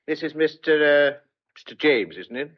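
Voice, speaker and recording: Hospitable farmer dad played by a man, middle-aged, raised pitch, plain-spoken and hearty, slightly muffled audio drama. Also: animated. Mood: brisk. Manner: brisk, homely, reassuring. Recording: dull lo-fi audio.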